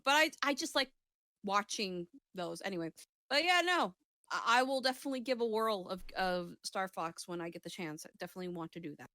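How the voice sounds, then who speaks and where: A woman chats casually about games as a show co-host, at an ordinary middle pitch. She rambles, swears freely and cuts into her own sentences, and the sound is close and dry with little room echo. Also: lively intonation, brisk, sharp tone. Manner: rambling, self-interrupting, sweary. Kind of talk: casual gaming chat.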